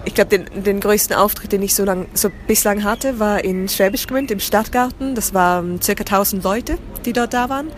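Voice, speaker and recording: A woman's voice: medium pitch, normal speed, few pauses, slight background noise. A woman, chatting in an interview, often restarting and searching for words. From southern Germany. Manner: searching for words, many restarts, drops into English. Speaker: guest, theatre company founder. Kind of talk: casual interview.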